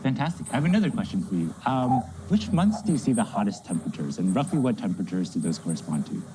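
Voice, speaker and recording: A man speaks fast with lively pitch movement and a mellow tone. He presents a live demo in a casual, conversational way. Slight noise is audible behind him. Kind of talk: casual live demonstration talk.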